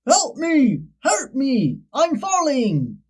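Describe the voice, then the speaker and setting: A woman voicing a trapped older goose, shouting for help in a children's storybook. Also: high-pitched, wildly swooping pitch, drawn-out words, pitched above the performer's own voice, full character voice. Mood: panicked.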